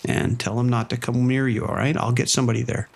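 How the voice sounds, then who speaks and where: A man playing a firm, steady police sergeant. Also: medium pitch, creaky. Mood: steady, commanding. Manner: firm, measured instructions. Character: calm, steady male police sergeant on the phone. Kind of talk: audio drama acting.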